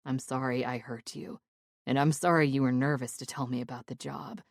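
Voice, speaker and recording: A woman voicing a remorseful young man in love, speaking low and gravelly in a pleading, apologetic way. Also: steady tone, fairly quick, no echo, clean studio sound, heavily acted. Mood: pleading tender. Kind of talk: audiobook character voice.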